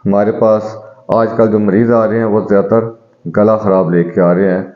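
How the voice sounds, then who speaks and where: A man who is a homeopathic doctor, calmly explaining home eye remedies to his viewers and addressing them as friends. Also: fairly low pitch, normal speed. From Pakistan. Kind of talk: health advice video to viewers. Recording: dull, echoey room recording.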